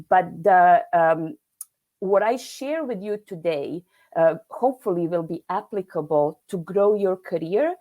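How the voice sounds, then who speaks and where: Keynote speaker: woman from Serbia, lowish voice, halting delivery full of fillers, heard over a video call. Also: a little mellow. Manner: hesitant, many fillers and restarts. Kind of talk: online conference keynote.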